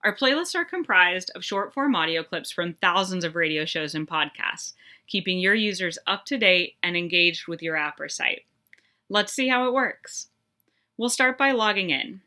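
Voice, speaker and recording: A woman presenting a scripted tutorial in a clear, upbeat, instructional manner. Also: on the low side, expressive, moderate pace, sharp tone.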